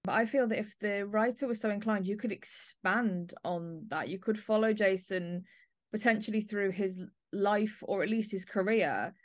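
A woman hosting a podcast, discussing drama in a measured, analytical way. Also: mid-range voice, dry recording, clean studio sound. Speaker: podcast host. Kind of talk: discussing an audio play.

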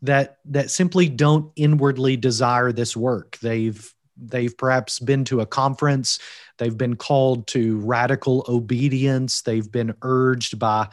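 A man with a Kentucky accent talks in an earnest, measured way, leaning on key words like a preacher. His voice sits in a middle pitch. The recording is dry, with no room echo. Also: steady tone. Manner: earnest, deliberate, preacherly emphasis. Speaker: guest, seminary teacher and preacher. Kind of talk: measured podcast interview. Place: recorded from Wales.

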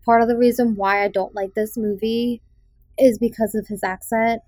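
A woman's voice: a little high, steady tone, normal speed, dark tone, dry recording. A young adult woman from Massachusetts's South Shore with a faint Boston accent, hosting a casual movie review podcast in a chatty, opinionated way with frequent ums. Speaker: podcast host.

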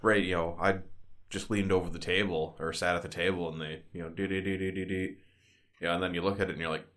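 A man's voice: low voice, level tone, fast talker, fairly bright tone. A young man co-hosting a podcast, chatting in a laid-back way with frequent swearing and restarts. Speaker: podcast co-host. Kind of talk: casual chat.

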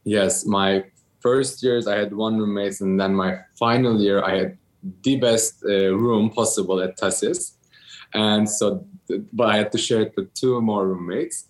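A man's voice: lowish voice, fairly even intonation, measured pace. A man in his thirties from Turkey, answering interview questions casually with many ums and restarts. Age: 30s. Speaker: interview guest, entrepreneur.

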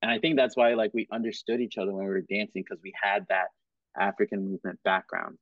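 A man hosts a podcast in an easy, encouraging way and laughs often. His voice sits on the low side. The sound is dry and thin, like a phone line. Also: steady tone, fast talker, dry recording. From Cameroon and Kenya. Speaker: podcast host. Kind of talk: casual interview chat.

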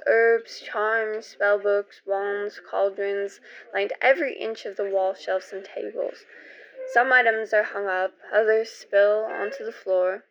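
A woman's voice: medium pitch, fairly bright tone. Dull-sounding woman narrating suspenseful radio theater. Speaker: host and narrator. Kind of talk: scripted first-person radio theater narration.

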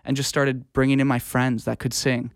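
A young man talks as an interview guest, telling stories in a casual, unpolished way. His voice is clean and smooth at a middle pitch. The sound is dry, with hardly any room on it. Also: little pitch movement, moderate pace, dry recording. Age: young adult. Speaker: interview guest, audio engineer. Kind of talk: casual conversational storytelling.

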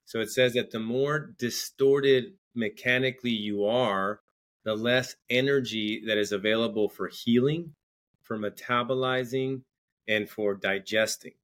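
A man's voice: mid-range voice, fairly even intonation, measured pace, a bit bright. A middle-aged man, a guest on an interview podcast, talking earnestly in casual chat and often repeating words as he starts his sentences.

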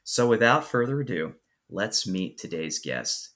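A man hosting a podcast, speaking in a measured, articulate way as he reads introductions and interviews a guest. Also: lowish voice, sing-song, fairly bright tone. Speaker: podcast host.

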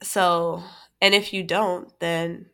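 A woman's voice: fairly low pitch, slow pace. Stuffy-voiced woman chatting animatedly. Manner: animated, laughs often, restarts phrases. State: stuffy from a cold. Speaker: podcast co-host. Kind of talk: casual chat.

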